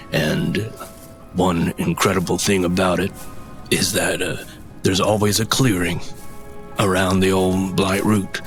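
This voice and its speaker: Give the listener a reticent fantasy mushroom forager from an audio drama. His voice sits very low and he speaks haltingly, feeling for his words. Music plays clearly behind him. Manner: hesitant, halting, not much for talking. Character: shy, halting male mushroom forager and craftsman in a fantasy world.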